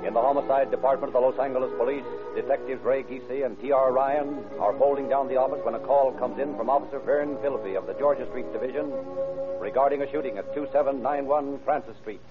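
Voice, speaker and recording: A man's voice: mid-range voice, quick pace, music in the background. A man announcing and narrating from a script in an emphatic, polished 1930s radio style. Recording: tinny old radio recording.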